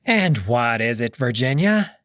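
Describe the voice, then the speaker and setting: A man's voice: medium pitch, very animated, a little slow, a bit bright, no room sound, clean studio sound. A man voicing a proud young male wolf emperor who is defensive and commanding. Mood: wary. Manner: indignant, commanding outbursts.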